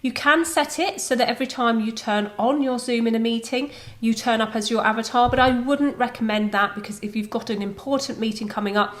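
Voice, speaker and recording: A woman presenting a video software tutorial, explaining step by step in an informal, conversational way. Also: fairly high pitch, fairly quick, few pauses.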